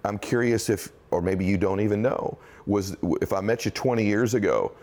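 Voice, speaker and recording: A man hosting an interview who talks quickly and assertively. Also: fairly low pitch, darkish tone. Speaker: host. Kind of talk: interview conversation.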